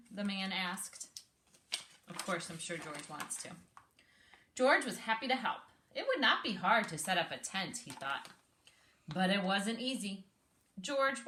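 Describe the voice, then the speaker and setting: Bright-voiced woman reading aloud, echoey room. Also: mid-range voice, slight background noise. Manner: instructive, prompting with questions, expressive reading. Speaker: speech therapist reading aloud to a child. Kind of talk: read-aloud storytelling with teaching asides.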